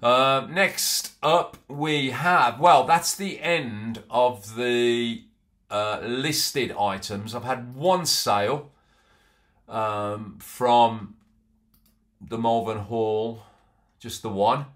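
A man's voice: mid-range voice, extremely slow, fairly bright tone. A middle-aged man, chatting casually through an antiques haul with frequent ums and restarts, filmed at a home office desk. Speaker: antiques reseller. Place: small home office, indoors.

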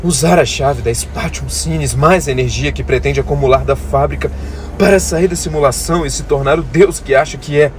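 Captive, male: a man playing a defiant chaos program, fairly high, with background noise. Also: brisk, close to an everyday voice. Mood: accusing. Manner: tense, defiant, pleading. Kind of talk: audio drama acting.

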